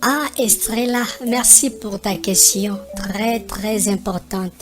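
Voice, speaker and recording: A woman voicing a wise, affectionate grandmother over seventy in an animated film, talking slowly and deliberately to children over music. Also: mid-range voice, natural voice. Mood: wise, gentle. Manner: slow, deliberate storytelling to children. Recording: music behind the voice.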